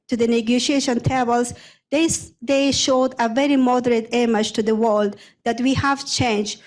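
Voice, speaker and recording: A young adult woman from Afghanistan, a former prosecutor speaking as a panel guest, talking in halting phrases with many restarts and repeated words. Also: a little high, low-quality recording. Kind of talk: panel discussion answer.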